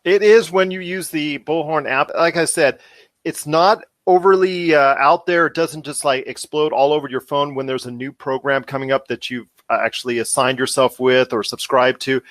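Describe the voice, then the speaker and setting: A man's voice: a little high, fairly even intonation, normal speed, a bit bright, no echo. A man hosting a podcast interview in a wordy, effusive, promotional conversational style.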